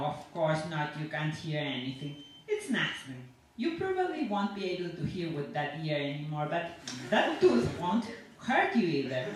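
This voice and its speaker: Female reader as rambling dentist, falsely reassuring and grumbling; animated pitch, echoing live room. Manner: rambling, falsely reassuring, hesitant non-native English. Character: chatty, grumbling, blithely menacing dentist. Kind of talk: dramatic monologue read from a short story. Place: large indoor venue at a university. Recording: echoey, distant, noisy live room.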